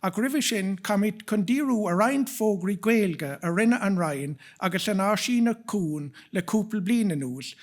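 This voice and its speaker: A speaker with an Irish accent, hosting an event and presenting in a formal but live style. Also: mid-range voice. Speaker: event host. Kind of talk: bilingual event presenting to an audience.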